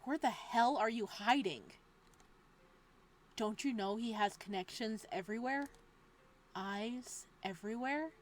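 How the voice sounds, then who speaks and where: A woman reading a visual novel aloud as a let's play host, moving between dramatic narration and casual, expressive reactions. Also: on the high side, slight background noise. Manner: expressive, exclamatory asides with laughter between measured reading.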